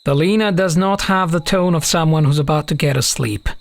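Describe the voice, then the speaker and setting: Higher-voiced man narrating a fantasy tale. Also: fairly quick, no room sound. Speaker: audiobook narrator. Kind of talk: scripted fantasy story narration.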